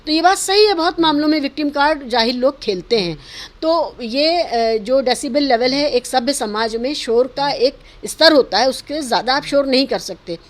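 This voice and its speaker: A woman's voice: high-pitched, expressive, normal speed. A woman giving earnest, emphatic opinions in an interview, arguing her points and mixing in English words. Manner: emphatic, argumentative, mixes in English words and phrases. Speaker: interviewee giving social and political commentary.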